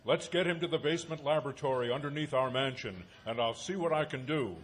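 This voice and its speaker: A man's voice: mid-range voice, fast, close to an everyday voice. An actor playing a grim older surgeon father, an obsessed scientist, in a live radio play. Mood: grave.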